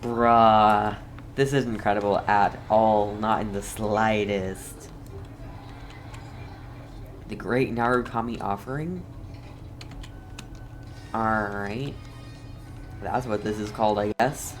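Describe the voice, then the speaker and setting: A woman's voice: extremely low pitch, fairly even intonation, takes it slowly, pauses often. A woman giving casual let's play commentary with dry, skeptical asides. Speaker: game streamer. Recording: game music behind the voice.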